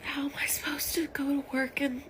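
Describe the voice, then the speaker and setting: A woman's voice: sits high, little pitch movement, a little fast, fairly bright tone. A woman from the United States confiding in a distressed, whispery voice, near tears. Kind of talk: emotional confession to camera. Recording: clear ambient background noise.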